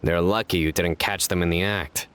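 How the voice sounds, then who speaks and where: An actor playing a composed, serious man in a video game, speaking in a measured, earnest way. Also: deep voice, steady tone, fairly bright tone, clean tone. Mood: thoughtful. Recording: game music behind the voice.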